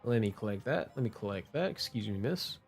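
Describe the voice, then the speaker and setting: A man's voice: on the low side, animated, a little fast, vocal fry. A young man doing casual, slangy live game commentary in a playful, joking manner. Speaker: game streamer. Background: game background music.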